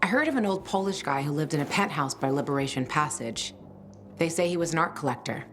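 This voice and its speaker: A low-pitched female schemer from a video game, cool and wry. She talks casually, with a teasing, sardonic edge, over faint ambient noise. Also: lively intonation, fast. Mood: matter-of-fact. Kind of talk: video game dialogue acting.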